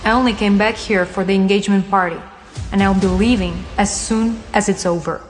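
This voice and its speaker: A woman's voice: mid-range voice, fairly even intonation, brisk, big-room sound, slight background noise, lightly acted. An actress playing a proud, bitter, wronged young mother in an audio drama, speaking in a curt, indignant, emphatic way. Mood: cold, defiant. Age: young adult. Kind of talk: dramatised audio story acting.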